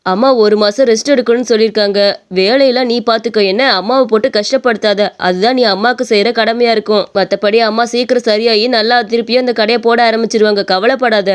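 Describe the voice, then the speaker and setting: Woman as a quick-spoken helpful passer-by man. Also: a little high, steady tone, smooth, close to an everyday voice. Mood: reassuring. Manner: earnest, reassuring. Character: helpful, concerned male passer-by, kindly adviser.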